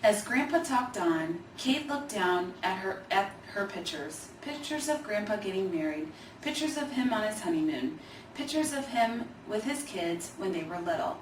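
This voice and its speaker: A woman's voice: mid-range voice, animated, moderate pace. A woman narrating a children's story, reading from a script. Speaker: storybook reader. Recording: roomy, distant mic, faint noise.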